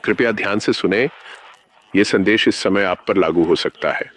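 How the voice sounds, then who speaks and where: A man narrating a scripted analog horror safety bulletin in a formal, measured announcer manner. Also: low-pitched, fairly bright tone.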